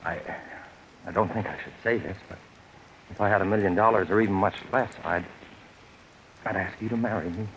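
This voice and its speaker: A man's voice: on the low side, a bit bright. A timid, anxious young man, noisy recording. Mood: nervous, shy. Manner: hesitant, halting, self-doubting. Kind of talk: 1940s radio suspense acting. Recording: noisy vintage radio recording.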